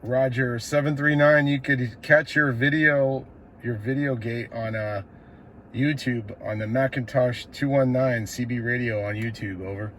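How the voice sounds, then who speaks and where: A man's voice: medium pitch, steady tone, unhurried, a bit bright. American man on CB radio, noisy background. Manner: laid-back slangy, says "man" often. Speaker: CB radio operator. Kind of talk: casual radio chat and station calls. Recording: clear background radio noise.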